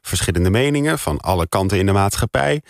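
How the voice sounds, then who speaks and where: Casting: male Dutch radio host, conversational and direct, fry in the voice, very little room sound. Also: sits low, lively intonation, a little fast, dry recording. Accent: Dutch (Netherlands). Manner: informal, addresses listeners directly.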